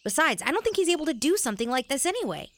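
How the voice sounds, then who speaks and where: A woman's voice: high voice, sing-song, racing. A woman playing a practical, sceptical young boy in a family audio drama.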